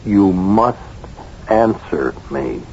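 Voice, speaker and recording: An actor in an old-time radio drama playing a composed doctor and family friend who questions in a deliberate, pressing way. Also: male voice, low voice, big pitch swings, vocal fry, dark tone, a little hiss or hum, heavily acted. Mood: commanding, hypnotic. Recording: dull old radio broadcast.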